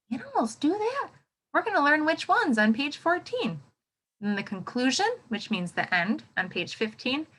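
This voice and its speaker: A woman teacher giving a read-aloud lesson to young children, expressive and instructive, often checking in with a quick question. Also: mid-range voice. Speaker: elementary teacher.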